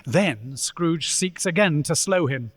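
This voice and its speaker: A man narrating a ghostly Christmas radio play as its storyteller, in a theatrical, deliberate manner. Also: on the high side, animated, a bit bright, no echo, heavily acted. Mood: suspenseful.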